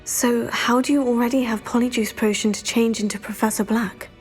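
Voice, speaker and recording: A woman's voice: a little high, steady tone, brisk, soft background music, lightly acted. An actress playing an earnest, curious young student witch, in a video game cutscene.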